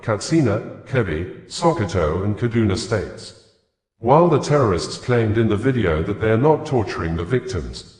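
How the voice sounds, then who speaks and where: A man reading scripted news in a formal, measured manner. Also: fairly low pitch, darkish tone, roomy sound. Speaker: news reader.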